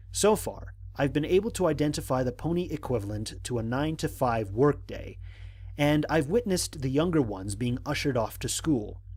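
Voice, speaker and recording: A man playing a stranded space explorer who narrates his mission log in a measured, formal, dryly humorous way. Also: medium pitch, husky. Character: stranded male space explorer, analytical, wryly exasperated. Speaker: narrator of an audio drama. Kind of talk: scripted first-person mission log narration.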